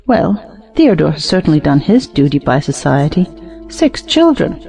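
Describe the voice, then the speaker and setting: A fretful, sentimental society wife acted by a woman pleads in a stage drama. Her voice is lowish and soft-toned, moving expressively up and down. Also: very animated, normal speed, gravelly, faint music behind, full character voice. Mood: pleading. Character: anxious, sentimental, conventional married woman. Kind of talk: stage play audiobook acting.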